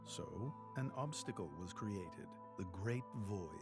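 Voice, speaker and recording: An actor playing a solemn, courteous old ruler in a game cutscene, speaking formally and with measured dignity. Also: male voice, fairly low pitch, lively intonation. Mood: grave, explanatory. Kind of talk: dramatic game cutscene acting. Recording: orchestral music behind the voice.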